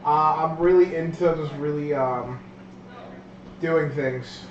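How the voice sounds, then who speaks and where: A man's voice: on the high side, takes it slowly, roomy sound, far from the mic, highs cut off. A man playing at a livestreamed tabletop game, tossing in offhand jokes and casual banter. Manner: joking, offhand interjections. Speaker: player.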